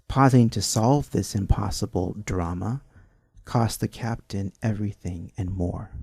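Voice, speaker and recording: A man narrating an old-time radio adventure in a theatrical, grandiose manner with dramatic pauses. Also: on the low side, vocal fry, warm tone, breathy edge, no room sound. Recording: narration over guitar music. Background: easy listening guitar music.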